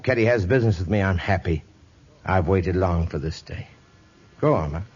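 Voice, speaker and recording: An actor playing a gentle, forgiving older missionary reverend, speaking mildly and earnestly in 1950s radio drama style. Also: male voice, low-pitched, normal speed, breathy edge. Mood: warm, calm. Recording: muffled vintage radio recording.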